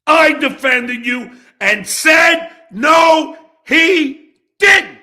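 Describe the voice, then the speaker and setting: A man hosting an online show delivers an angry, profane rant at a rival, confrontational and emphatic, with dramatic pauses. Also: extremely high pitch, lively intonation, pushed and bright. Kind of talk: angry personal rant addressed to an absent rival.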